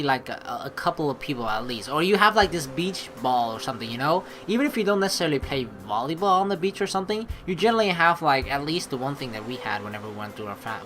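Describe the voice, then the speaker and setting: A young man narrating and commenting on a game he plays. His voice is bright and swings widely in pitch, with expressive, rambling delivery. Faint noise sits behind him. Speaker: let's play host.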